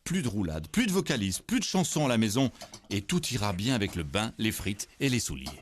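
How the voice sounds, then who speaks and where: A man's voice: fairly low pitch, very animated, fairly quick. A man voicing a dramatic young mother who sings opera, in a theatrical, plaintive way for a children's cartoon. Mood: resolute.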